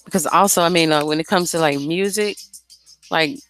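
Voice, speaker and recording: Candid woman singer, low-pitched, music playing. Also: moderate pace, a bit bright, low-quality recording. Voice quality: light, airy voice. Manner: informal, slangy, candid, swears freely. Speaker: singer and songwriter. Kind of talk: casual, unscripted chat about making music.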